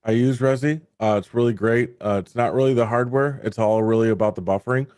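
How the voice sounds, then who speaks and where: Man, quick pace, dry sound, technical panelist, clear explaining style. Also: mid-range voice, darkish tone, no echo. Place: online video call, close dry sound.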